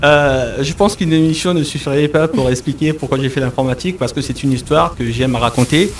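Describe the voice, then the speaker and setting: Man, middle-aged, from the Republic of the Congo, hesitant, stumbling repeats, distant mic, low rumble. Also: a little fast. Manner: hesitant, many fillers and repeated words. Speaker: enterprise architect, guest. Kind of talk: interview answers. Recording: voice a bit far from mic, faint rumble.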